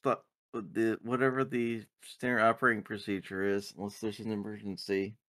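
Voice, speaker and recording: Low-voiced male tabletop player. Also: flat delivery, normal speed, a bit bright, no room sound. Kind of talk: casual game chat.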